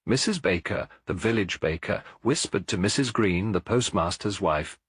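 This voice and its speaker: Low, husky male mystery narrator. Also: animated, normal speed, no echo, studio quality. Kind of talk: scripted mystery audiobook narration.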